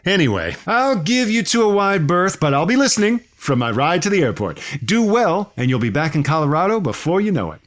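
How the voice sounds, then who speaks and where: A man's voice: high voice, very animated, fairly quick, few pauses. A man playing a slick, crass, upbeat radio boss in a comedic audio drama, glib and persuasive with folksy wordplay. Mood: jaunty.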